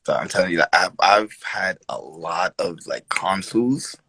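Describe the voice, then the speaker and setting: A man in his early thirties chatting casually as a co-host, excitable and full of slangy exclamations. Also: deep voice, normal speed, slightly rough, dry recording. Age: early 30s. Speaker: podcast co-host. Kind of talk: casual chat.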